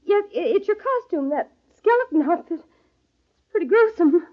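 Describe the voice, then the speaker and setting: An actress playing a frightened, guilty wife in an old radio thriller, with breathless, faltering, melodramatic delivery. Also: female voice, extremely high pitch, lively intonation, normal speed. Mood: frightened, pleading. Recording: dull, lo-fi old radio recording.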